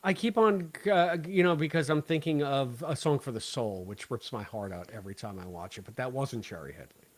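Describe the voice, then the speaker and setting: A man's voice: medium pitch, a little fast. A man co-hosting a podcast, talking casually and giving blunt, opinionated takes in conversation. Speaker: podcast co-host. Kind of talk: casual chat, episode discussion.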